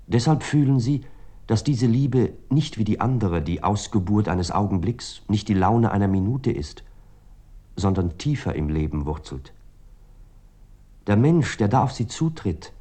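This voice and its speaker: Male reader for literary quotations, lowish pitch, dark and relaxed timbre. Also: husky. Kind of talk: scripted literary reading.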